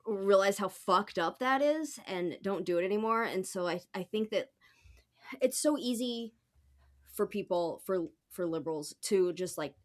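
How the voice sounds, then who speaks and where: Blunt, colloquial woman, medium pitch. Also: animated, unhurried. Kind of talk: casual political podcast discussion.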